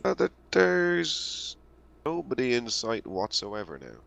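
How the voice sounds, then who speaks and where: A man playing a tabletop roleplaying game, talking casually and a little haltingly. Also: medium pitch, wildly swooping pitch, gravelly, a bit bright. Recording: voice chat with faint noise.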